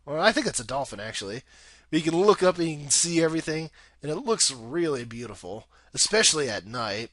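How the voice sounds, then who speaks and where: A man's voice: fairly high pitch, sing-song, a little fast, fairly bright tone, no echo. A young man giving casual, rambling gameplay commentary in an informal way, swearing freely. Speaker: video game let's play host.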